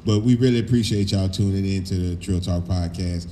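A man's voice: low voice, a little fast, warm tone, reverberant, slight background noise. A middle-aged man hosting a podcast, talking in a laid-back, profane, storytelling way. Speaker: podcast host. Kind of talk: casual podcast chat.

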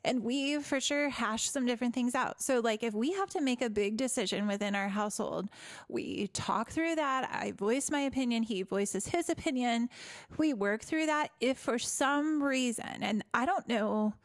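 Loud, expressive woman hosting a podcast. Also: on the high side, moderate pace, no room sound, clean studio sound. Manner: expressive, laughs heartily, acts out quoted voices. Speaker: podcast host. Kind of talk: casual faith podcast chat.

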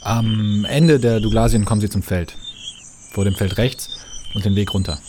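An actor playing an odd, lonely old forester in a radio play, speaking in terse, halting, rambling phrases. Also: male voice, fairly low pitch, noisy background, close to an everyday voice. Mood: wistful, rambling.

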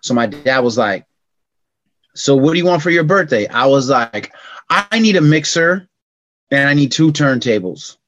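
A middle-aged man from California telling stories in a casual interview, slangy and animated, acting out quotes in a raised voice. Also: mid-range voice, moderate pace, dry recording, dull audio. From California, United States. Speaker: podcast guest.

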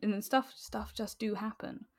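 A British woman talking casually as an interview guest, animated and self-deprecating, often acting out bits of imagined dialogue. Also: medium pitch, normal speed. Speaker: podcast guest.

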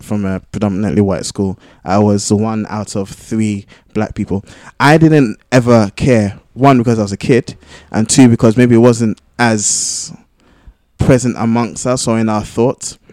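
A man in a casual podcast discussion, arguing his points in a halting way as he thinks them through. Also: fairly low pitch, darkish tone, no echo, clean studio sound. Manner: halting, thinking aloud, argumentative. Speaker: podcast panellist. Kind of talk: casual discussion among friends.

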